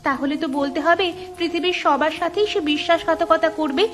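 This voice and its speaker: A woman voicing a kind, curious young village girl in a cartoon, earnest and expressive. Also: very high voice, a little fast. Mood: concerned. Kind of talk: cartoon storytelling voice acting.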